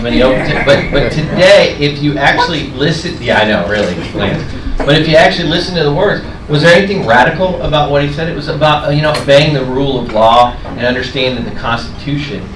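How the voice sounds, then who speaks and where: A man's voice: mid-range voice, quick pace. A man in his forties addressing a community meeting in a plainspoken, hesitant way. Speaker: meeting attendee. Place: diner dining room. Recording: roomy, distant, noisy diner.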